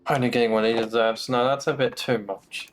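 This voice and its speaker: A man's voice: medium pitch, fairly even intonation, fairly quick. A man giving casual live gameplay commentary as a streamer, with sarcastic, sweary muttering asides. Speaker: game streamer. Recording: faint game music behind.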